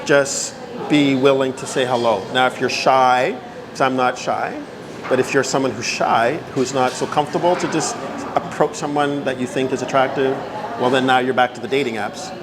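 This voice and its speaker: A man's voice: medium pitch, fairly even intonation, normal speed, background noise. Talkative Canadian man in his fifties. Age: 50s. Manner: talkative, repeats "right?" for agreement. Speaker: interview guest. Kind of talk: casual interview answers.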